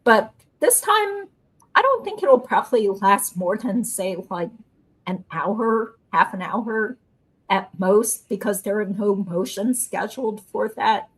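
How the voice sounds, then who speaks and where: A woman's voice: mid-range voice, slightly rough, rough recording. A woman host, a lawyer, chatting casually in an upbeat way and explaining court matters. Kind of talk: casual chat and legal commentary.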